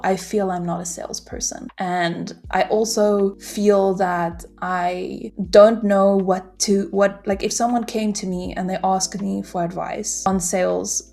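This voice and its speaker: Woman vlogger, casual and rambling, some vocal fry, mid pitch. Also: a little mellow. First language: not German. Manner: rambling, frequent restarts and fillers. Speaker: product manager vlogger. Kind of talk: casual vlog self-review.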